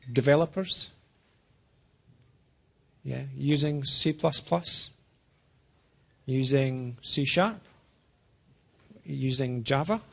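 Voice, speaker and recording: A man from Scotland with a mixed Scottish and American accent gives an informal technical presentation, speaking deliberately and haltingly as he checks in with his listeners. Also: fairly high pitch, vocal fry. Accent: Scottish-American mix. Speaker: software program manager giving a presentation. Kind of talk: informal technical talk to a small audience.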